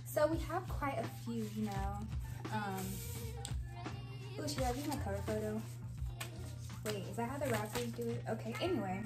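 A young woman with a soft voice chatting casually to camera in her bedroom, rambling with giggly asides, on a clip-on mic. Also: fairly high pitch, normal speed, pauses often, music in the background. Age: young adult. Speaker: vlogger. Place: bedroom, indoors. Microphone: clip-on mic after the first part.

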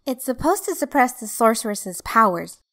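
Woman acting young female game rebel, high voice, headstrong, eager. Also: very animated, moderate pace, fairly bright tone. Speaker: fan dub voice actor. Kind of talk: video game fan dub.

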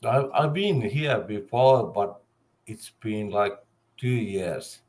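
A Finnish man in his fifties chatting casually in halting English with a Finnish accent, searching for words. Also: lowish voice, lively intonation, a little mellow. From Finland. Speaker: livestream guest. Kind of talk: casual chat. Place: indoor room at home, video call.

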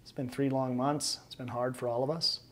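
American guy being interviewed at home, normal pitch, talking about his own life. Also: level tone, moderate pace, fairly bright tone. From United States. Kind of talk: personal account in an interview. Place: living room at home.

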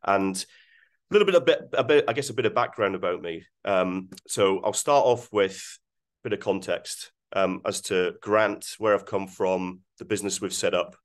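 A man's voice: low-pitched, normal speed. A Scottish man in his forties presenting on a video call, speaking with many ums and restarts. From Scotland. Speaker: webinar guest speaker. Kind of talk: presenting slides in a webinar.

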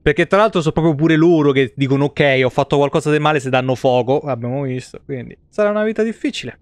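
A man's voice: fairly high pitch, fast talker, no room sound. A man gives casual, joking, sweary live commentary on a game. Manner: informal, joking, swears freely. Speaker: live streamer. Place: indoor room, seated at a desk.

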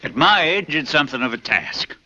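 An actor playing a sixty-year-old plantation master, pompous and cruel, with a self-satisfied drawl that can flare into furious shouting. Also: male voice, a little high, very animated, fairly quick, slight background noise, heavily acted. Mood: smug. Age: 60s. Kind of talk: film acting. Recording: dull lo-fi film sound with music.

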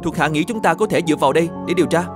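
Man acting a quick, high-voiced dutiful officer. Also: over music, natural voice. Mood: dutiful, earnest. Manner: deferential, brisk reporting. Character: dutiful, deferential male officer serving the governor. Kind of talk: animated folk tale dubbing.

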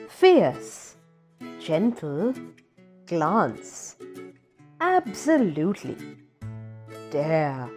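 A woman narrating a scripted children's word list and quiz questions in deliberate, separated phrases. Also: mid-range voice, a little mellow.

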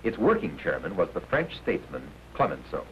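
Male documentary narrator, low and lively in pitch, formal and emphatic, 1950s classroom-film style. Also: a little fast. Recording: dull, noisy 1950s film soundtrack.

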